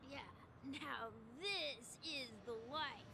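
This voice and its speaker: A woman's voice: high-pitched, gravelly, background noise, full character voice. A woman voicing a dreamy, carefree man in a video game, with a blissful, rambling drawl. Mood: blissful, defiant. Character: dreamy, carefree man escaping a miserable home life. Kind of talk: dramatic video game acting.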